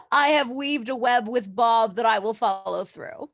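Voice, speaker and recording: A woman's voice: fairly high pitch, expressive, takes it slowly, exaggerated voice. A woman playing a bossy, confident teenage girl in a mock-Shakespearean staged reading, with haughty, theatrical archaic speech. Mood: breezy.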